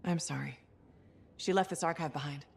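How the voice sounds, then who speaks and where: Wry, low-voiced freelancer hero. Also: female voice, moderate pace, vocal fry, darkish tone, slight background noise. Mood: subdued, wry. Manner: casual, wry. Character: dry, pragmatic, battle-seasoned female freelancer hero. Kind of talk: cinematic game voice acting.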